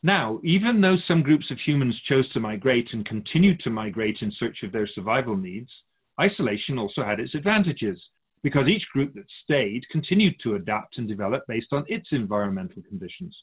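Man playing a lively-pitched, husky otherworldly soul keeper. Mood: patient, instructive. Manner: didactic, measured explaining, speaks of humans as outsider. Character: otherworldly keeper of souls, patient, all-knowing teacher of humans. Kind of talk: scripted radio drama dialogue. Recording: dull phone-like narrow sound.

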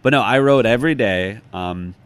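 A man's voice: lowish voice, normal speed. A middle-aged American man talking casually as an interviewed author, reflective and thinking aloud. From United States, Chicago. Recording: clearly noisy background.

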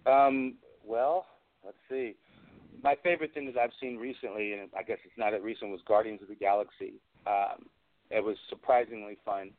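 A low-voiced man giving an interview over a narrow, phone-like connection. He talks casually and rambles from one opinion to the next, like a guest chatting on radio. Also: fairly even intonation, normal speed. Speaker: guest, film special effects artist. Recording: band-limited phone-like radio sound.

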